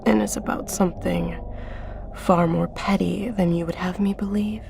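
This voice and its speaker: Creaky, low-voiced female Reaper, coolly condescending acted by a woman. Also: fairly even intonation. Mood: cool, dismissive. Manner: measured, formal, condescending. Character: gaunt, skeletal female Reaper on a bone throne, coolly amused. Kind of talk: scripted audio drama acting. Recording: music under the voice. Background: music.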